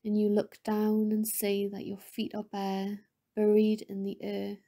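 A woman leading a guided meditation in a soft, breathy, often near-whispered voice, with a soothing, gentle manner and lingering pauses between phrases. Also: medium pitch, monotone.

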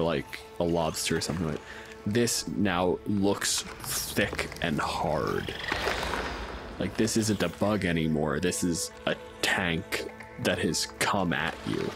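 A man's voice: deep voice, expressive, measured pace. A man running a tabletop game as game master, explaining in a conversational way. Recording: music behind. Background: clearly audible music.

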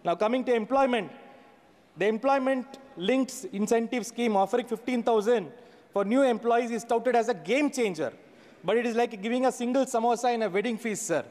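A man's voice: very high-pitched, fairly quick, darkish tone. A man in his forties giving a sarcastic budget speech in a large parliament chamber, reading from notes into a desk microphone. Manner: sarcastic, reads from notes with hand gestures. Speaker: member of parliament. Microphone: fixed desk microphone. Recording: long chamber reverb.

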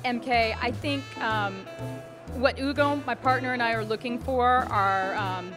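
The American woman at a gallery booth at an art fair, talking high into a held-out mic while music plays. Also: unhurried. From Dallas, Texas, USA. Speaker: art gallery owner, interview guest. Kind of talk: on-camera interview. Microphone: handheld interview mic held out by interviewer.

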